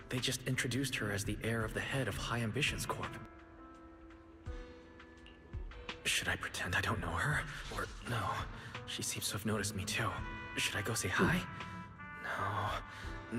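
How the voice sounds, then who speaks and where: A man's voice: lowish voice, moderate pace, music in the background, natural voice. A man voicing a friendly, modest young male character in an anime-style game. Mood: stunned, anxious.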